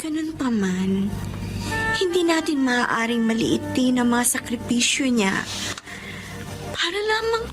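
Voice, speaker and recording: A gentle but reproachful Filipino younger sister. She speaks slowly in a high voice, in radio drama style over music. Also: sing-song. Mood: earnest pleading.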